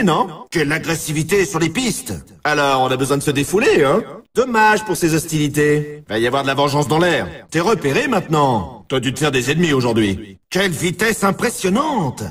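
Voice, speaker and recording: High-pitched, animated sports game announcer. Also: male voice, husky, far from the mic, heavily acted. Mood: impressed. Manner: punchy exclamatory delivery in short scripted lines. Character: animated male snowboard race announcer, brash and teasing. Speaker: video game race announcer. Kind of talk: scripted sports announcer lines with colloquial address.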